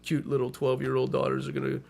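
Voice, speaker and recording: Gravelly, slightly hoarse ranting man. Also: medium pitch, monotone, fast. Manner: ranting, profane, flares up loud when laughed at. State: a little hoarse. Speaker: podcast co-host. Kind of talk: casual radio chat.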